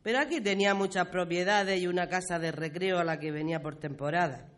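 A woman's voice: lowish voice, brisk, fairly bright tone, natural voice. A woman with an accent from Spain playing a good-humoured grandmother in a conversational radio play. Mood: playful, explanatory. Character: good-humoured grandmother explaining local history.

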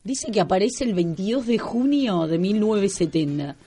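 Argentine woman cohost, medium pitch, just chatting on radio, light music behind her. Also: animated, moderate pace, mellow, breathy edge. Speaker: radio co-host. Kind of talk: casual chat on a radio magazine show.

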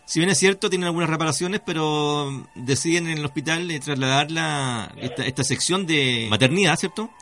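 A Chilean man hosting a conversational radio show on mysteries, speaking haltingly as he searches for words. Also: a little high, bright, forceful tone. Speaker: radio host. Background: music.

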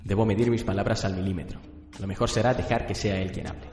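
Casting: arrogant young male villain, acted by a man, lowish, echoing, disdainful, radio play. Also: fairly even intonation, quick pace, darkish tone, close to an everyday voice. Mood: scheming. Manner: scheming, disdainful. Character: arrogant, calculating young male secret killer. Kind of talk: radio drama acting. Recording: echoey long reverb, faint music.

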